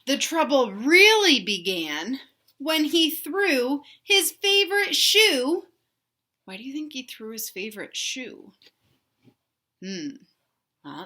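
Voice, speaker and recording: A woman reading a children's storybook aloud in an animated, emphatic way, pausing to put questions to young listeners. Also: sits high. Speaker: read-aloud host for children.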